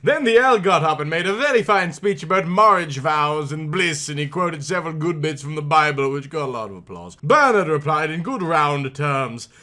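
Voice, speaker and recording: Bright, forceful man reading a story. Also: fairly high pitch, expressive, normal speed, hardly any pauses. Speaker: reader of a storybook. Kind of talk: theatrical comic audiobook narration.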